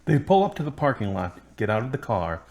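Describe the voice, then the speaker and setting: A man's voice: medium pitch, big pitch swings, a little fast, a little mellow. A man reading scripted narration as the narrator of an audio drama.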